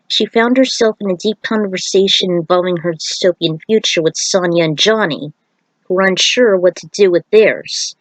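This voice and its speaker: A woman's voice: mid-range voice, no room sound, rough recording. A woman reading a fan-fiction story aloud as an amateur narrator, occasionally stumbling and repeating words.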